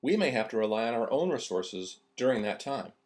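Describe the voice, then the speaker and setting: A man's voice: medium pitch, very animated, a little fast, darkish tone. A man reading scripted narration for an instructional video.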